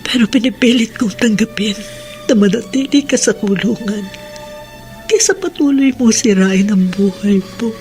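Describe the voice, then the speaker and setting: A woman's voice: fairly high pitch, moderate pace, a little mellow, soft background music, close to an everyday voice. A woman playing a stern, grieving mother of a jailed son in a Filipino radio drama. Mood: sorrowful, tender.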